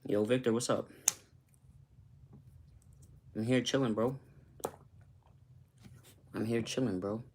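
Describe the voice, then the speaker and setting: A man's voice: medium pitch, steady tone, slow, pauses often, a little mellow, slight background noise. A man from Costa Rica, a YouTuber, chatting loosely with his viewers and often asking "you know what I'm saying". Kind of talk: casual livestream chat with viewers.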